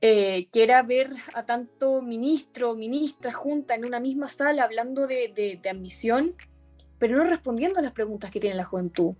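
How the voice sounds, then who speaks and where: Young Chilean woman, earnest activist guest in a chat, high-ish voice, soft music bed behind. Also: moderate pace. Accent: Chilean Spanish. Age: young adult. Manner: earnest, many restarts and self-corrections. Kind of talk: conversational interview.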